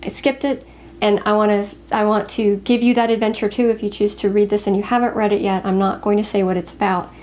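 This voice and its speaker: A woman co-hosting a casual book chat, talking in an expressive, confiding way. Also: mid-range voice, fast talker. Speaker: co-host of a book review show.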